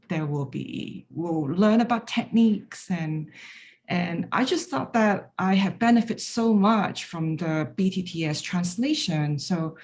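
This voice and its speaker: A woman's voice: lowish voice, a little mellow. Woman sharing a personal story. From Hong Kong. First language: Chinese. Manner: candid, storytelling, light self-deprecating humor. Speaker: graduating student speaker. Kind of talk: personal reflection talk.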